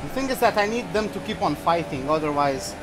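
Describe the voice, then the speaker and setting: Young man, from Portugal, high voice, rambling casual gaming commentary, game music behind. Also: animated, brisk, rough recording. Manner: loose, rambling, swears when startled. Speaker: gaming channel host. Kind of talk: casual commentary while racing.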